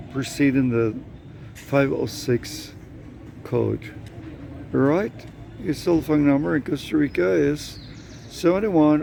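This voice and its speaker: A man hosting an interview, asking questions in a halting way with many fillers. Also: fairly high pitch, warm tone, a little hiss or hum. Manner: very slow, halting, gravelly. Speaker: interviewer, host.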